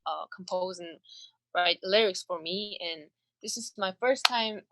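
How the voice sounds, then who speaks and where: A young woman with a Korean accent, a singer speaking English as a second language in a casual video-call interview, pausing and restarting as she searches for words. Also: medium pitch, very noisy. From South Korea. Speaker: singer and songwriter guest.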